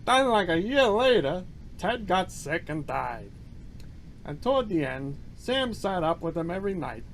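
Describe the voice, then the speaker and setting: A man reads a scary story aloud, taking his time and drawing words out dramatically. His voice is on the higher side and moves in lively swoops. The recording carries faint background noise. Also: unhurried. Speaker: library staff reader. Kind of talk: spooky story reading.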